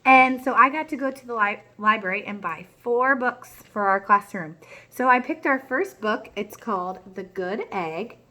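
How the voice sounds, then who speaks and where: A woman's voice: on the high side, expressive, moderate pace, sharp tone. A woman speaking as a young children's teacher, chatty and encouraging, with simple explanations. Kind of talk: read-aloud lesson addressed to children. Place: at home, small child beside her.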